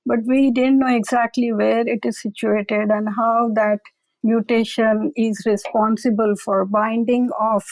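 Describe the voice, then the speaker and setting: Researcher, female, raspy-edged higher voice, careful explanatory interview manner. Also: level tone, a little slow, darkish tone, no room sound, low-quality recording. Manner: careful, explanatory, repeats "I will say". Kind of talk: conversational expert interview.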